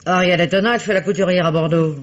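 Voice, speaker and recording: A woman's voice: on the low side, fairly even intonation, fast, natural voice. An actress playing a talkative, gossiping village innkeeper woman in a 1950s radio drama. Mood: chatty. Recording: dull old radio recording.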